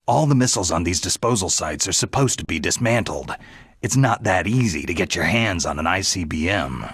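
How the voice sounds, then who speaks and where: Very husky, low-voiced gruff soldier. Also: male voice, lively intonation, fairly quick, a bit bright, no echo, heavily acted. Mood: probing, serious. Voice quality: gravelly, husky. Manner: terse, skeptical questioning. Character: gruff, sardonic veteran special forces soldier, adult man. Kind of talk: video game voice acting.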